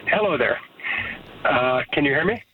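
A man's voice: fairly high pitch, expressive, normal speed, husky, no echo. A Canadian-born man whose first language is Serbo-Croatian, calling in to a radio show and talking thoughtfully with many restarts. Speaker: caller. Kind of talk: call-in radio chat. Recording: tinny phone line.

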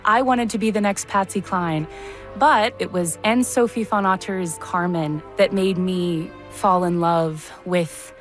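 Woman from Kentucky, lively and animated, over faint music. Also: fairly low pitch, measured pace. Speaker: opera competition finalist. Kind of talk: recorded radio interview clip.

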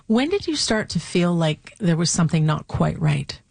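A woman hosting a radio studio interview, asking measured, gently probing questions. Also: lowish voice, sing-song, creaky, no room sound. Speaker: radio host.

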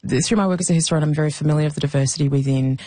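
A woman's voice: low voice, rapid-fire, warm tone, no echo. A woman from Zambia, a documentary maker and historian, answering interview questions in a conversational way.

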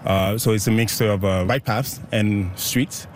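A man's voice: lowish voice, steady tone. Upbeat man from Haiti, faint traffic behind. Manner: upbeat, laughs often, quick bursts. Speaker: bike ride organizer. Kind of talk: casual interview.

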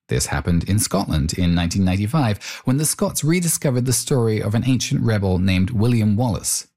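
A man's voice: lowish voice, darkish tone. A man working as interviewer and narrator, speaking in a documentary style.

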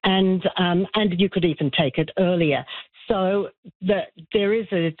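A man's voice: high voice, dry recording. A man with an Australian accent calling in to a discussion show, speaking earnestly and restarting his sentences often. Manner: earnest, halting with many restarts. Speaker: caller. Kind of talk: call-in discussion. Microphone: telephone. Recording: phone line.

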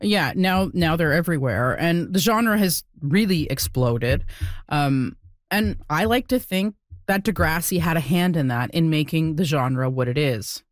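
A woman's voice: low-pitched, expressive, measured pace, dry recording, clean studio sound. A woman hosting an interview show, talking conversationally and somewhat hesitantly as she searches for her words. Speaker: podcast host.

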